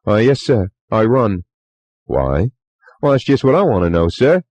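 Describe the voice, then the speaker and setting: A man voicing a young Cockney bellboy who talks in rough dialect and keeps saying "sir", in a muffled, lo-fi recording. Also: lowish voice, very animated, a little slow, darkish tone, dry recording, voice pushed up. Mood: agitated. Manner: rough dialect speech, deferential, many "sir"s. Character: young Cockney male bellboy, nervous and chatty.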